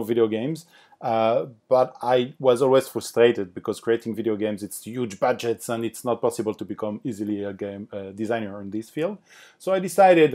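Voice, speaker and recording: A man's voice: mid-range voice, darkish tone. A man with a French accent, a game designer talking as a podcast guest, with frequent fillers and restarts. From France. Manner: hesitant, many fillers and restarts. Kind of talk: podcast interview.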